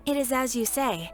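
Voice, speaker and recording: A woman playing a composed, earnest guild receptionist in a video game, speaking in a formal, measured way. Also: high voice, heavily acted.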